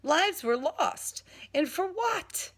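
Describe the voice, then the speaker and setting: A woman's voice: very high voice, big pitch swings, slow pace, a bit bright, voice pushed up, exaggerated voice. A woman playing a blunt, slangy, sarcastic heroine who stands her ground in a crime audiobook. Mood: angry, defiant. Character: defiant, sarcastic streetwise woman working for police.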